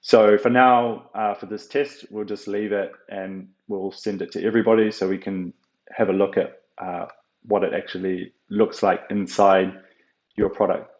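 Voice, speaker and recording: A man with a fairly low voice talks through a software walkthrough. He explains step by step in a halting, unscripted way, full of ums and uhs. The recording is roomy and echoing, with a slightly dull tone. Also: fairly even intonation. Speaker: software tutorial presenter. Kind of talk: unscripted screen-share walkthrough.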